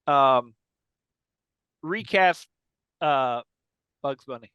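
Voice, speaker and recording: A man's voice: medium pitch, lively intonation, very slow, smooth, dry recording, dull audio. A man co-hosting a podcast in casual comedic banter, chatty and teasing, repeating words for emphasis. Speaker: podcast co-host.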